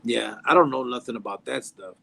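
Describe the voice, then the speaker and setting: A man, chatting casually on a podcast, halting with fillers and restarts and mixing in Spanish slang. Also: mid-range voice, gravelly, sharp tone, slightly rough. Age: 40s. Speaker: podcast guest.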